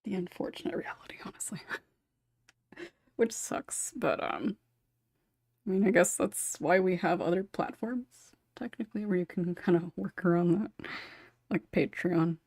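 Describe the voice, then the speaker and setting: A woman's voice: lowish voice, moderate pace, long pauses, a little mellow, no echo, studio quality. A woman hosting a casual group podcast, chatty and often giggly. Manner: giggly, laughs often between remarks. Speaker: podcast host.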